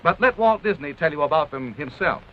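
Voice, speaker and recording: High-pitched 1930s American newsreel-style male narrator. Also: lively intonation, fairly quick, a little hiss or hum. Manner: formal, grandiose announcer delivery. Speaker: documentary narrator. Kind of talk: 1930s promotional film narration, scripted. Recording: dull vintage 1930s film soundtrack, music. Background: orchestral music.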